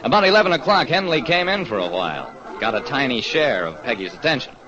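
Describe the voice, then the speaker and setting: A man's voice: medium pitch, very animated, quick pace, a crowd in the background, close to an everyday voice. An actor playing a hard-luck, wry, world-weary private detective who narrates his case in an old radio drama. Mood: matter-of-fact. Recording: dull, noisy old radio recording.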